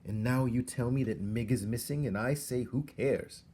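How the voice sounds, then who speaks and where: A man's voice: medium pitch, moderate pace, dark tone, slight background noise, pitched above the performer's own voice, natural voice. A man voicing a tall, brusque, dismissive servant woman in a storybook, with emphatic, indignant delivery.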